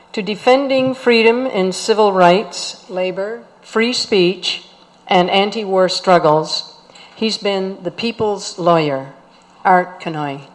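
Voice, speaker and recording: A woman's voice: medium pitch, rough recording. A woman reading scripted documentary narration as an introduction.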